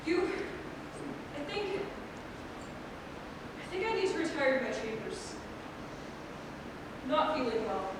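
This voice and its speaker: In a big echoing hall with clear rumbling noise, a woman plays an anxious older gentleman of the castle on stage. The voice is high, hesitant and pleading, heavy with remorse, and sits far from the microphone. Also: very animated, takes it slowly, long pauses, heavily acted. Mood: remorseful, shaken. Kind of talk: theatrical staged reading. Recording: distant echoey hall, noisy rumble.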